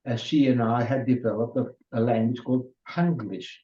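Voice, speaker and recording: An older man whose first language is Hungarian gives an unhurried, informal lecture, often restarting his sentences. His voice is mellow and sits in a middle pitch. The recording is dull and lo-fi. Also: steady tone. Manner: unhurried, with many restarts and fillers.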